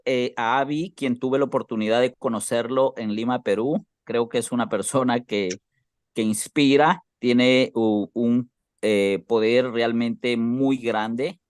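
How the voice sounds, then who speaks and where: A man with an Ecuadorian accent speaking formally and deliberately, with pauses, on an online group call. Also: mid-range voice, clear, resonant tone, dry recording, clean studio sound. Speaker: team leader asking questions.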